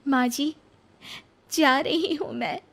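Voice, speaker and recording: A woman's voice: high voice, slow pace, gravelly, fairly bright tone, voice pushed up, exaggerated voice. A woman voicing a devoted young widowed daughter-in-law in an earnest, pleading way for a moral story. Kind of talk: animated moral-story acting.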